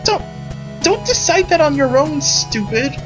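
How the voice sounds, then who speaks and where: A reader putting on a high, huffy tsundere schoolgirl. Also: very animated, measured pace, music in the background. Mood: flustered, indignant. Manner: huffy, stammering, indignant. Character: tsundere anime schoolgirl, defensive and easily flustered. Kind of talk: visual novel character reading.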